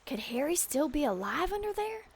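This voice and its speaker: An actress playing a plucky, anxious, determined woman, narrating an audio drama in an urgent, expressive way. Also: high voice, fairly quick, a little hiss or hum. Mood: worried.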